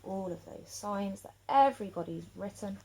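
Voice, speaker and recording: Woman reading a kids picture book out loud, expressive, dramatic pauses. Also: mid-range voice, low-quality recording. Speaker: storyteller. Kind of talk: children's picture book read-aloud.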